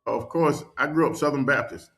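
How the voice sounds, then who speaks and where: Male podcast guest from North Carolina: chatty world traveler, animated storyteller, mid-range voice, laughs easily. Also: medium pitch, brisk. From North Carolina, USA. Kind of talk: casual conversation.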